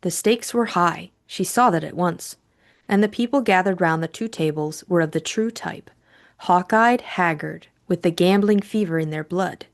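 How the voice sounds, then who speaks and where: A woman's voice: fairly low pitch, moderate pace. A woman narrating a novel as an audiobook in a scripted storytelling style.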